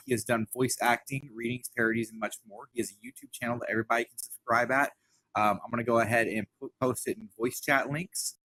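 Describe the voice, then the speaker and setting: A man's voice: medium pitch, pushed and bright, no echo, poor audio. A man hosting an online reading event, speaking informally as he introduces it. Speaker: host of an online book reading.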